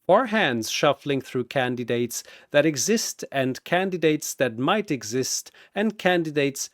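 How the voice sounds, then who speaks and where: A man's voice: fairly high pitch, lively intonation, measured pace, no room sound, clean studio sound. A man narrating a scripted fantasy battle as a dramatic storyteller.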